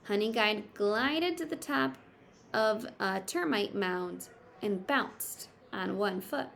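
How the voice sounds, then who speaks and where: Female teacher, storybook read-aloud. Slow, slightly gravelly, expressive, explains simply. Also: medium pitch. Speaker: teacher reading aloud to pupils. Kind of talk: picture book read-aloud with teaching asides.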